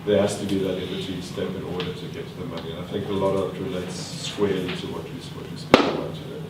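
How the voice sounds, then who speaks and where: A man's voice: sits low, level tone, fast talker. A man leading a filmmaking workshop, quizzing the group and thinking aloud with fillers and restarts. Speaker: workshop trainer. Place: large meeting room with a live group. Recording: echoey room from far off, noisy.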